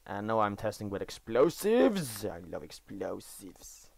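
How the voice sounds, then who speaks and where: A Norwegian teenage boy, speaking English as a second language, giving casual commentary over his own gameplay footage in a halting, self-correcting way. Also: fairly low pitch, huge pitch swings, vocal fry, a little mellow, no echo. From Norway. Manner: halting, self-correcting, enthusiastic about game details. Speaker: gaming video creator. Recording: dry voice-over recorded after gameplay.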